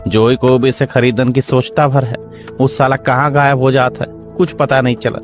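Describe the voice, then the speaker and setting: A man's voice: mid-range voice, little pitch movement, normal speed, faint music behind, natural voice. A man voicing a rustic, superstitious, gossipy male seller in an audio story, speaking in a folksy, colloquial way. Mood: ominous gossip. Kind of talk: dramatic audio story acting.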